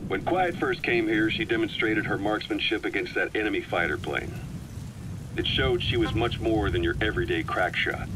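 Game role: man playing a watchful, seasoned military intelligence man. Deep, forceful, measured delivery over faint noise. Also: expressive, lightly acted. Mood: impressed. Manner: measured, deliberate, dramatic pauses. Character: shrewd, seasoned male military intelligence officer, cool and watchful. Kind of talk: scripted game audio briefing.

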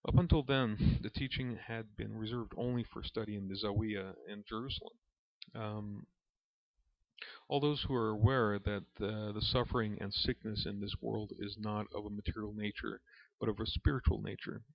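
A man reading aloud from a spiritual book as a lesson, in a measured, reverent manner. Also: fairly low pitch, steady tone, gravelly. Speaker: reader and religious teacher. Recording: dull, lo-fi recording in an echoey room.

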